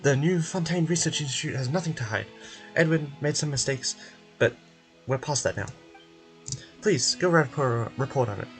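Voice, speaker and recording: A man's voice: medium pitch, lively intonation, moderate pace, faint music behind, close to an everyday voice. A man voicing a formal, wordy and polite research institute official in a video game. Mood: officious, earnest.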